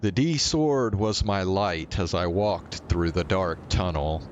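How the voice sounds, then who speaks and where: A man's voice: deep voice, slow pace, highs cut off, a little hiss or hum. A male streamer reading a visual novel aloud and talking to viewers as he goes. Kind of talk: live read-aloud narration of a visual novel.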